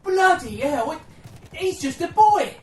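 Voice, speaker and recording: A man playing a grumbling, sardonic British soldier in a war radio play, excitable and sarcastic. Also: very high-pitched, unhurried, far from the mic, slight background noise, heavily acted. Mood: sardonic, indignant. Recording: roomy, off-mic, faint background sound bed.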